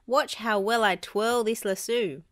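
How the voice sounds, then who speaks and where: An excitable, boastful cartoon dog played by a woman reading aloud from a children's storybook. The voice is pushed high and exclaims eagerly. He is a clumsy would-be cowboy, full of himself. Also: unhurried, no echo, studio quality, close to an everyday voice.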